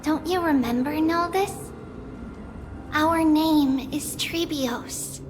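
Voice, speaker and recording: Tiny high little girl priestess, earnest n polite, video game, music loud behind. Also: takes it slowly. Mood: earnest, pleading. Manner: earnest, formal, speaks as "we".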